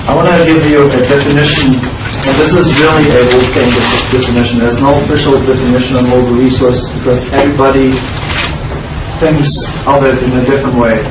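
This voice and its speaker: A man's voice: mid-range voice, heavy background noise. German guy giving a talk in a classroom, lots of uh's, echoey and far from the mic. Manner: halting, with frequent uh fillers and restarts. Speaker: industry speaker. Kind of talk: conference presentation. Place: classroom with projector screen. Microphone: far room camera.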